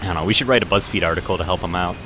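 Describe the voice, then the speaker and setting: Low-voiced man riffing wryly on a game. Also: rapid-fire, noisy background. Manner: wry, sarcastic joking. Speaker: let's play co-commentator. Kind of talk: casual gaming commentary chat. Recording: game music behind the voice. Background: video game music.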